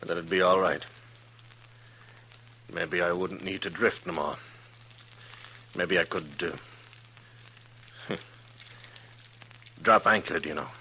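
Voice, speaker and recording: An actor playing a lonely, guilt-ridden former sea skipper adrift in the Old West, speaking in a halting, folksy way in a 1950s radio drama. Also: male voice, deep voice, fairly even intonation, sharp tone, heavily acted. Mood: wistful, hopeful. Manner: halting, folksy, plain-spoken. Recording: noisy 1950s radio recording.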